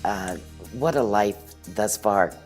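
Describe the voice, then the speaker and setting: Woman hosting a local tv interview, really deep mellow voice, faint music under it. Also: takes it slowly. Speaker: guest host interviewer. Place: local TV studio.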